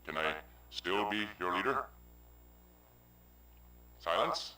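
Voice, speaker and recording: An actor playing a pompous but sheepish cube leader in a comic video game cutscene. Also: male voice, expressive, takes it slowly, sharp tone, distant mic, exaggerated voice, low-quality recording. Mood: apologetic, flustered. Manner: grandiose booming that collapses into sheepish rambling.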